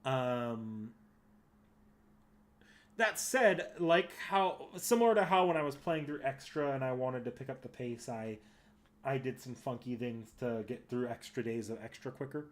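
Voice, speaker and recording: A man's voice: mid-range voice, a little slow. A man streaming games, chatting casually and unscripted, hesitant and often correcting himself as he thinks aloud. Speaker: live game streamer.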